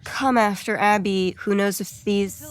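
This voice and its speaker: A woman's voice: mid-range voice, steady tone, measured pace. A woman giving casual, analytical commentary on a game, reflective and building her thoughts in repeated phrases.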